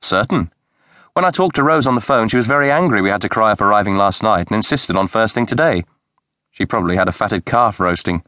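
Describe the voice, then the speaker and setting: A male audiobook narrator voicing a blunt, sharp-tongued young woman who is quick to protest. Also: fairly low pitch, lively intonation, dry recording, studio quality. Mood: chatty.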